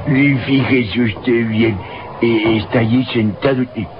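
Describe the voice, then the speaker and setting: An actor playing an excitable, folksy servant with a Mexican accent and colloquial, stammering speech in a radio drama. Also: male voice, medium pitch, slow pace, background noise, natural voice. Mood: amused. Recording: muffled vintage radio recording with music.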